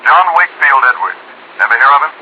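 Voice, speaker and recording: A man's voice: mid-range voice, expressive, fast, natural voice. An actor playing an affable, tight-fisted insurance company man with a chummy, dry manner in 1950s radio drama. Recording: muffled old radio recording, faint hiss.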